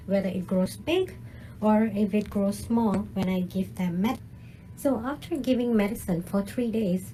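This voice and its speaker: A woman with a mellow, warm voice patiently walks children through lab work, one step at a time. A faint noise runs behind her. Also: medium pitch, poor audio. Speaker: researcher demonstrating lab work. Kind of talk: guided lab demonstration for children.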